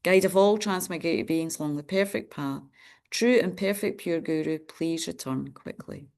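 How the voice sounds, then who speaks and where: A woman's voice: fairly low pitch, moderate pace, vocal fry, darkish tone. Woman nun reciting prayers steadily. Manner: friendly, chatty greeting of participants. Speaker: Buddhist nun leading a puja. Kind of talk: reciting prayers and leading an online group.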